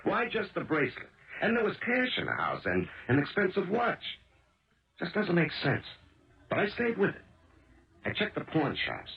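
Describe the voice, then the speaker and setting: An American actor playing a weary, gruff police detective husband in a 1970s radio drama, speaking in brusque, emphatic, colloquial phrases. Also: male voice, sits high, wildly swooping pitch, normal speed, exaggerated voice. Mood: insistent. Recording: dull lo-fi old radio broadcast.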